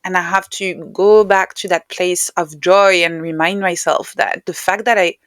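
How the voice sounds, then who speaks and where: A woman who is not a native English speaker talks as a podcast guest, in a reflective, conversational way. Her voice sits a little low for a woman. The sound is very dry, with no room around it. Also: fairly even intonation, measured pace, a bit bright, no echo. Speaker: podcast guest, writer and neuroscientist.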